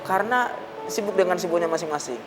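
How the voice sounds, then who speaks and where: A man speaking as a psychology guest expert on a talk show, explaining in a measured way with pauses and hesitations. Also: high-pitched, lively intonation, music in the background.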